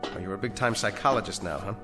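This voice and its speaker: An actor playing a restless army major in a radio drama, brusque and insistent. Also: male voice, on the low side, fast talker, music in the background, lightly acted. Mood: frustrated.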